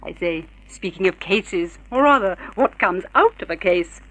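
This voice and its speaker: A woman's voice: mid-range voice, animated, normal speed, fairly bright tone, highs cut off. A woman playing a sly, fun-loving socialite, arch and teasing, in a vintage radio drama. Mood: playful, flirtatious.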